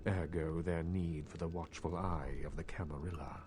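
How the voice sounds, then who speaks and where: An actor playing a scheming, cultured elder male vampire in a video game, speaking in formal, measured, condescending phrases. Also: low voice, fairly even intonation, background noise, lightly acted. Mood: grave, disdainful. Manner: formal, measured, courtly speech. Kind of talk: video game character dialogue. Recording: loud game music behind. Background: music.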